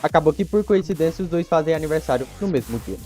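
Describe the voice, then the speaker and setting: A man's voice: fairly high pitch, lively intonation, fast talker, dark tone. A man with a Brazilian accent giving a casual, chatty recap commentary, colloquial and full of rhetorical tag questions. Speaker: YouTube cartoon reviewer. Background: background music.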